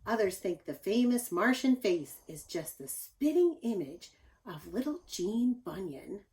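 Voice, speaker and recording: Female storybook reader for young children, teacherly and expressive, speaking directly to her listeners. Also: mid-range voice, measured pace. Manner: animated, addresses young listeners with asides. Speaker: teacher reading aloud to children. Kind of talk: expressive picture-book read-aloud.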